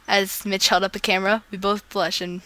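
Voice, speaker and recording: A woman with a very bright voice reads romantic fan fiction in a casual, homemade way. She is expressive and often trips over words and starts again. The sound is dry and close, without room echo. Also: mid-range voice, a little fast. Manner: gushing asides.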